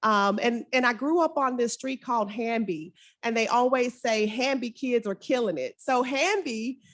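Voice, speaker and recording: A woman's voice: fairly high pitch, normal speed. A woman from Arkansas with a Southern drawl, talking informally and jovially on a conference panel. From Arkansas, United States. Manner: folksy, jovial.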